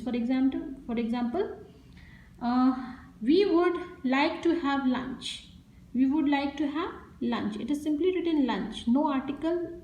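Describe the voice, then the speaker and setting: A woman's voice: high voice, darkish tone. A woman with an Indian English accent teaching a grammar lesson, explaining step by step and repeating each example sentence. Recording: echoey room sound.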